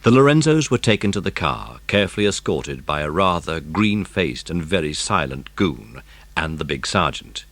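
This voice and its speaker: A man's voice: rumbling low, big pitch swings, normal speed, a bit bright, no room sound. A man reading scripted narration of a children's mystery story as an audiobook narrator.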